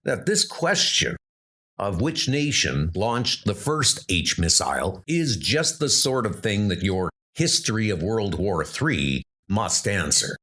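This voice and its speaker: A man voicing a thoughtful male schoolteacher turned historian who speaks in a measured, inquisitive way. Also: on the low side, big pitch swings, sharp tone, breathy edge, full character voice.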